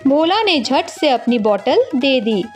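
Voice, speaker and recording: A woman's voice: sits high, sing-song, takes it slowly, soft background music. A woman narrating a scripted village story as a storyteller.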